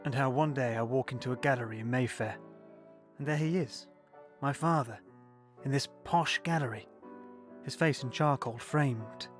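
A man playing a grown son who slowly and reflectively recalls his soldier father in a radio play, with faint music behind. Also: medium pitch, natural voice. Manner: slow, reflective.

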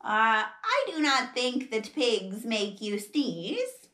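A woman's voice: a little high, very animated, slow, bright, forceful tone, full character voice. A woman voicing a mild, reasonable storybook cat doctor with a careful, reassuring delivery. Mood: calm diagnosis. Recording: roomy, echoing sound.

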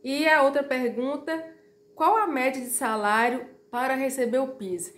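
A slightly hoarse woman in her thirties talks straight to her viewers with a fairly high voice. She is chatty and direct and repeats her warnings. She is recorded close to a phone in a small, echoey room at home. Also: unhurried, fairly bright tone. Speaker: YouTuber. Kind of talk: informal explainer vlog.

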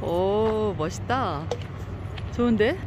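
A woman's voice: mid-range voice, expressive, slow pace. A woman vlogging as she tours a campground, chatty and exclaiming often, recorded outdoors on a phone. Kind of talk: casual vlog narration. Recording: outdoor phone audio with clear background noise.

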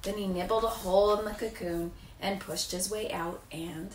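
A woman's voice: medium pitch, steady tone, normal speed. An American woman in her thirties reading a children's picture book aloud. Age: 30s. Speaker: teacher. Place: living room, indoors. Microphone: camera a few feet away. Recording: distant echoey room, faint noise.

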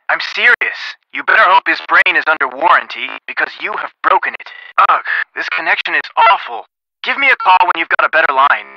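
A man's voice: a little high, bright, forceful tone, no echo, heavily acted. An actor playing a friendly young man in a video game phone call, casual and teasing, on a muffled line. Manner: casual, teasing, playfully earnest.

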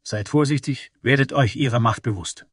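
A man voicing an old dragonkin carpenter in a fantasy audio story, measured and fair, with a cautionary manner. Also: medium pitch, dry recording, clean studio sound, pitched above the performer's own voice, close to an everyday voice. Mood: warning.

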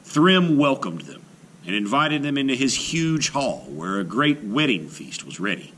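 Casting: male children's storyteller, theatrical, forceful, very varied pitch, unhurried. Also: a little hiss or hum. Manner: expressive, theatrical, deliberate. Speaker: read-aloud storyteller. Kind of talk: dramatic children's storybook narration.

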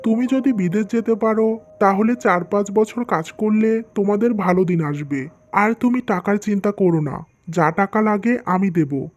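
A woman's voice: medium pitch, moderate pace, darkish tone, soft background music, natural voice. A woman voicing a reassuring older father-in-law in a scripted cartoon, in a persuasive, advising way.

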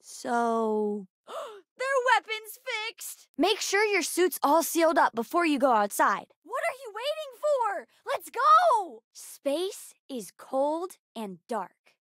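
A woman voicing a bright, curious, bookish young girl in a video game, speaking in an eager, earnest and cheeky way. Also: high-pitched, big pitch swings, slow, few pauses, dry recording, studio quality, exaggerated voice. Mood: excited, then curious, chatty. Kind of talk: video game character voice lines.

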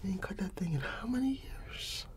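A middle-aged man doing a casual ASMR barber roleplay, playing an easygoing uncle in a soft, breathy, often whispered voice and pausing for unheard replies. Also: high-pitched, very animated, slight background noise. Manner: unhurried, familiar and colloquial, with pauses for unheard replies. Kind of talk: ASMR roleplay, casual one-sided chat.

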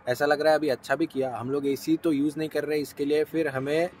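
A man's voice: on the high side, little pitch movement, fairly quick. Chatty young man vlogging from his car. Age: young adult. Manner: chatty, explains routes and tips to viewers. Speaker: travel vlogger. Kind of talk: casual road-trip vlog narration. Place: inside a small car on a highway and at roadside stops. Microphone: small camera held or mounted close. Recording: road and wind noise behind.

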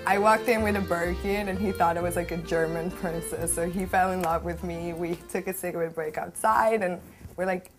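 A woman's voice: on the low side, steady tone, moderate pace. A woman in her twenties from Italy, chatting breezily in a seated interview in a bedroom, with lots of "like". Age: 20s. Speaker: reality show cast member. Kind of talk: casual confessional interview. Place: bedroom, indoors. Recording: music underneath.

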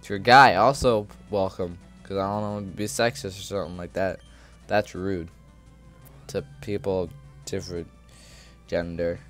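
A man's voice: low-pitched, steady tone, moderate pace, a bit bright. A man giving casual, rambling commentary over a video game, joking in a goofy way and often repeating himself. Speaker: gaming video creator. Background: video game music.

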